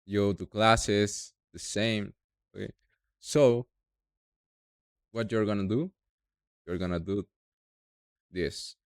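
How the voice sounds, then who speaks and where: A young man from Mexico with a Mexican Spanish accent, speaking halting non-native English as he walks through a software tutorial step by step. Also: lowish voice, steady tone, clear, resonant tone, dry recording, clean studio sound. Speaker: tutorial creator. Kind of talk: screen-recorded software tutorial.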